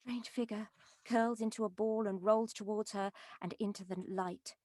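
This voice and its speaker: A woman's voice: medium pitch, steady tone, normal speed, mellow, a little hiss or hum. A woman reading stage directions as scripted narration at a table read. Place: online call from indoor recording setups.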